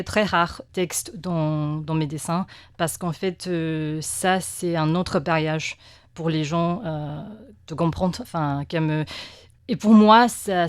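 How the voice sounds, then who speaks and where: A woman with a Taiwanese Mandarin accent speaks in a fairly low voice, with many fillers and restarts. She sounds like a second-language speaker answering interview questions. The recording is dry and close, like a studio mic. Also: no room sound. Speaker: guest press cartoonist.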